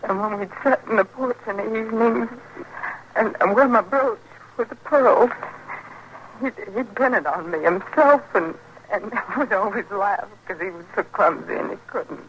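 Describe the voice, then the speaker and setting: An actress playing a worn-down, frightened frontier wife, halting and rustic, with an American accent in 1950s radio western style. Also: female voice, mid-range voice, muffled recording, lightly acted. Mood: wistful, sorrowful. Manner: halting, stammering, rustic speech. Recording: noisy old radio drama recording.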